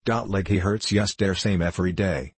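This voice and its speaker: A man's voice: low voice, normal speed, slightly rough, no echo. A man voicing a stubborn, ailing old German railroad worker with a growling voice, a thick German accent and broken English. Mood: grumbling. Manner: blunt, grumbling, broken English.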